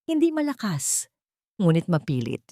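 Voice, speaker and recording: A woman's voice: fairly low pitch, huge pitch swings, moderate pace, mellow, dry recording, studio quality. A woman reading scripted, dramatic story narration as the narrator.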